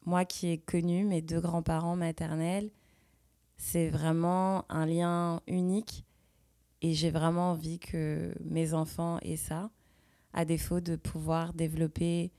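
A woman in her thirties hosting a podcast, speaking in an intimate, earnest and deliberate personal monologue. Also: deep voice, warm tone, no room sound, clean studio sound. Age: 30s. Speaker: podcast host.

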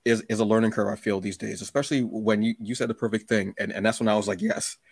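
A man's voice: lowish voice, fairly even intonation, fast, creaky, slightly rough, no room sound. A man hosting a podcast in a casual chat, speaking emphatically and acting out little quoted remarks. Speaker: podcast host.

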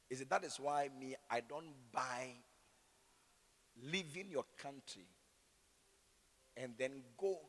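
A man with a Ghanaian accent and a raspy voice, preaching in an animated, conversational way full of rhetorical questions and repeated phrases. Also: fairly high pitch. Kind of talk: sermon with conversational, opinionated asides. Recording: echoey hall with long reverb.

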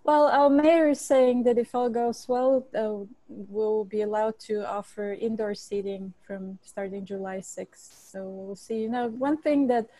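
A woman's voice: a little high, steady tone, measured pace, hardly any pauses, warm tone, no echo, rough recording. A woman from Bulgaria, a chef and cookbook author, answering interview questions earnestly with frequent restarts.

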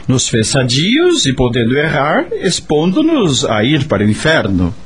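An actor playing a bitter, brooding working man with a husky voice and a curt, questioning manner in a radio drama. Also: mid-range voice, wildly swooping pitch, measured pace. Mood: troubled, questioning. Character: bitter, brooding working man haunted by rejecting his son.

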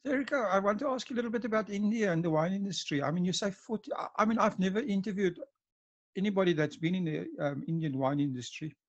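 A man's voice: sits high, fast, highs cut off. A man hosting an interview podcast, often stumbling and restarting his sentences. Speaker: podcast host.

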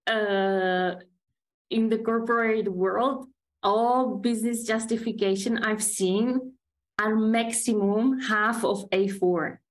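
A woman co-hosting a casual work podcast, emphatic and quick to laugh. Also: a little high, steady tone. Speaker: podcast co-host. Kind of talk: casual chat.

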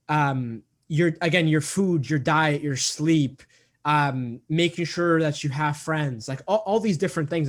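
A man in his twenties talking earnestly in an interview, repeating himself often and filling gaps with "um" and "like". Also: a little high. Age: 20s. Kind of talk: casual interview talk.

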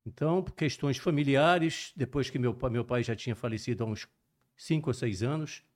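An older man with a mixed Minas Gerais and Rio Brazilian accent, a cardiologist guest telling his story in a measured, detailed, professorial way. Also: medium pitch. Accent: mixed Brazilian Portuguese, Minas Gerais and Rio de Janeiro. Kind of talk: relaxed storytelling interview.

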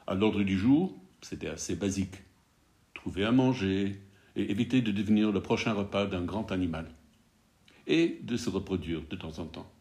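A man in his sixties hosting a podcast in a humorous, self-mocking monologue, speaking a second language with small slips. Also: lowish voice. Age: 60s. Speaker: podcast host. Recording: voice over loud guitar music. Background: loud guitar music.

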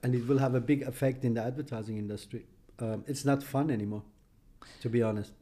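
A man's voice: medium pitch, fairly even intonation, fast talker, warm tone. A middle-aged man from Iran, English speaker, giving emphatic, opinionated answers as a podcast guest and often ending with a questioning "no?". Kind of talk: podcast interview.

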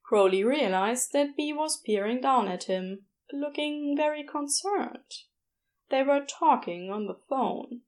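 Slow, high-voiced woman narrating a story. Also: lively intonation. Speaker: audiobook narrator of fan fiction. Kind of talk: expressive scripted story narration.

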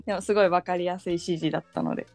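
A woman's voice: fairly low pitch, very fast, vocal fry, darkish tone, faint music behind. A woman illustrator chatting informally on a remotely recorded show. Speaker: illustrator, podcast guest or member. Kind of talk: casual chat.

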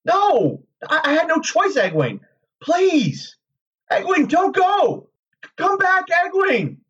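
A man's voice: very high-pitched, wildly swooping pitch, slow, a bit bright, recorded from a distance, highs cut off, voice pushed up, full character voice. A man voicing a frightened, haunted young man who begs and stammers in a storybook reading. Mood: pleading, tearful. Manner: stammering, begging.

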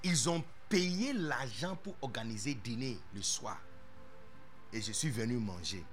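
A man preaching a sermon as pastor, animated and playful, dropping English interjections into his talk. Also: mid-range voice, unhurried, faint music behind.

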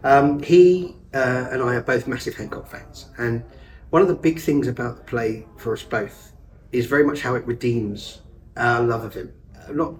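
Man, stage actor, eager and gushing, quick talker, mid pitch, distant off-mic sound. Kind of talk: interview chat. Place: theatre above a pub.

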